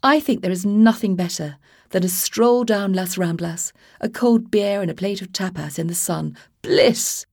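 A woman's voice: medium pitch, unhurried, vocal fry, hardly any pauses, darkish tone, slightly rough, dry recording, clean studio sound. A woman voicing a posh, long-haired young man who rambles on breezily, oblivious to being unwanted. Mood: chirpy, chatty. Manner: breezy, upper-class, rambling.

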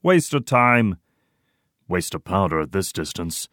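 A man's voice: low-pitched, big pitch swings, a little slow, a bit bright, no room sound, studio quality, full character voice. A man voicing a weary, short-tempered old section leader in a war novel, barking coarse orders. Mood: curt, weary.

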